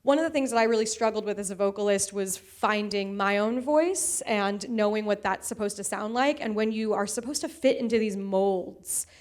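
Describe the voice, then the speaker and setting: A woman who sings soprano speaks on a panel discussion. Her voice sits at an ordinary pitch, and she talks in an open, conversational way. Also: moderate pace, hardly any pauses. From United States. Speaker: soprano singer.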